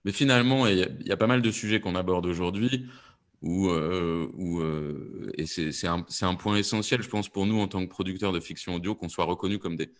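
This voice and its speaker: A man who works as an audio fiction producer, explaining on a panel and haltingly repeating words as he searches for phrasing. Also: low voice, fairly even intonation.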